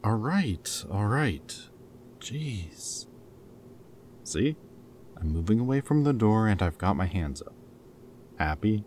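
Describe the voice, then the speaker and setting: A man's voice: fairly low pitch, big pitch swings, slow, pauses often, darkish tone, a little hiss or hum. A man playing a captured rebel scientist in an intimate audio roleplay, caring and guilt-ridden, speaking in a hesitant, casual, earnest way. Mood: defiant, exasperated.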